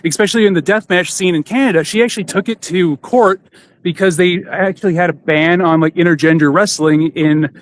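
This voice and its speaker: A man's voice: high voice, brisk, slight background noise. A man hosting a podcast, talking casually in a rambling, enthusiastic fan's way. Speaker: podcast host. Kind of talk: casual chat about wrestling. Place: outdoors in front of a theater.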